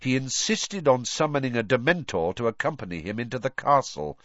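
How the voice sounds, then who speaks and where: Guy doing cold controlled professor, low n measured, audiobook, dry recording. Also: very animated, a bit bright, no room sound, muffled recording. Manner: measured, restrained. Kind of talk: audiobook character voice.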